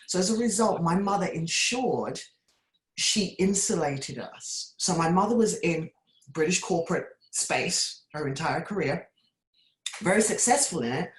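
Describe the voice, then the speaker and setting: A woman from the UK tells candid personal stories as a panelist. Her voice carries a noticeable room echo. She is heard over a video call. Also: low-pitched. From United Kingdom. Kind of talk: panel discussion. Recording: roomy echo.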